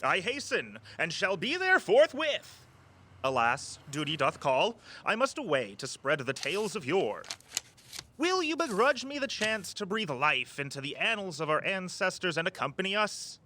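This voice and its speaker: An actor playing a playful, theatrical tour guide with a flowery turn of phrase in an audio drama. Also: male voice, sits high, very animated, moderate pace, bright, forceful tone, slight background noise, full character voice. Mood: grandiose, playful. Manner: grandiose mock-archaic speech.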